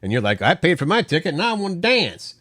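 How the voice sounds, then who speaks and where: A man's voice: a little high, sing-song, quick pace, fairly bright tone, dry recording, clean studio sound. A middle-aged man co-hosting a morning show, chatting casually in a rambling way and often repeating words as he starts a thought. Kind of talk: casual chat.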